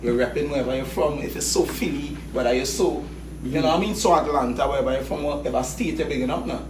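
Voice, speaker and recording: A man's voice: medium pitch, fast. A man with a Trinidadian accent, a hip hop artist talking casually as an interview guest, laid-back and often saying "you know what I mean". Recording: echoey room, mic at a distance, background noise.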